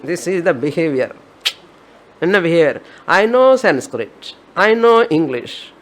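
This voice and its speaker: A woman with an Indian accent, a spiritual teacher giving an emphatic, repetitive discourse on scripture. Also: sits low.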